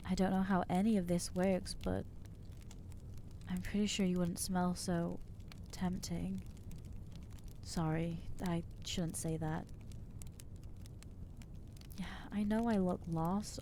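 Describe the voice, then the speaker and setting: A woman voicing an anxious, guilt-ridden new vampire in an ASMR roleplay, halting and self-doubting, with wry exasperation. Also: fairly low pitch, steady tone, dark tone, a little hiss or hum. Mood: anxious, guilty. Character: anxious newly turned female vampire, guilty and overwhelmed. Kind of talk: ASMR roleplay acting to the listener.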